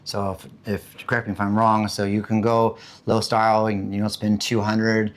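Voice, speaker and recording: A man from the United States with a hoarse voice after being sick, chatting casually and rambling about living costs, with many fillers and restarts. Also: fairly low pitch, little pitch movement, normal speed. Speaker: vlogger. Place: home in the Philippines.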